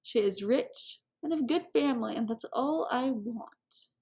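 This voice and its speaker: A woman's voice: on the high side, unhurried, darkish tone, dull audio. A woman reading a storybook as a cynical middle-aged prince, a resigned father with a dry, courtly manner. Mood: cajoling.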